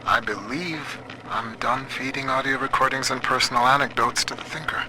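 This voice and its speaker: A man playing an earnest, world-weary computer scientist in a dystopian video game, speaking in a measured, folksy, plain way. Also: medium pitch, fairly even intonation, noisy background, close to an everyday voice. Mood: grave, reflective. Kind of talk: video game voice acting, radio messages and audio diaries.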